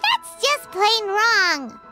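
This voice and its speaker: Game fairy companion: childlike and chatty, squeaky, wildly swooping delivery. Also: female voice, huge pitch swings, very slow, full character voice. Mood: puzzled. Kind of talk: video game dialogue. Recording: loud music underneath.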